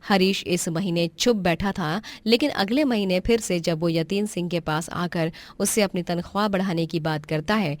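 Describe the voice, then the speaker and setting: A woman's voice: on the low side, dry recording. Woman narrating a story, dry sound.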